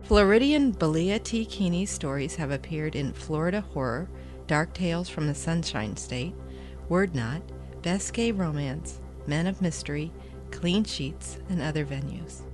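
Low-voiced female announcer over music. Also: expressive, a little slow. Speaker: podcast host announcer. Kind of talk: scripted announcement.

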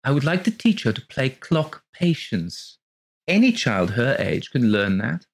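A man's voice: mid-range voice, sing-song, unhurried, voice pushed up. A man reading an audiobook, voicing a fat, pettish male puppeteer who talks in a chirpy, wheedling way. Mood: aggrieved. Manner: chirpy, wheedling, then snappish. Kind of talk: audiobook character voice.

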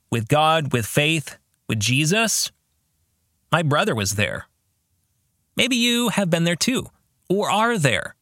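A man's voice: fairly high pitch, pushed and bright, no echo, clean studio sound. An American man hosting a podcast, talking earnestly and reflectively to listeners, with emphasis and probing questions. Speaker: podcast host and author leading a book club.

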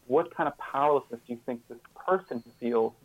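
A man being interviewed as a guest, speaking reflectively and haltingly, often repeating words and restarting his sentences. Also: medium pitch, dry recording, narrow, tinny audio.

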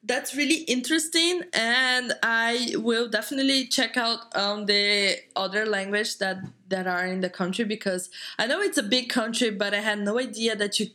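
A woman's voice: a little high, normal speed, hardly any pauses, bright, forceful tone. A woman, not a native English speaker, hosting a casual chat, curious and eager, laughing often. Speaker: podcast host.

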